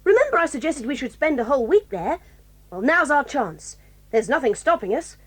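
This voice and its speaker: A woman's voice: high-pitched, big pitch swings, fairly quick. An actress playing a bold, stubborn tomboy girl who speaks confidently and decisively.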